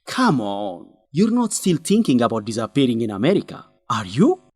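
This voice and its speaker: An actor playing an earnest, principled young man who urges his friend in a radio drama, with an animated, scolding manner. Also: mid-range voice, normal speed, slightly rough, no echo. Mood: pleading, scolding. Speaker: friend and fellow researcher.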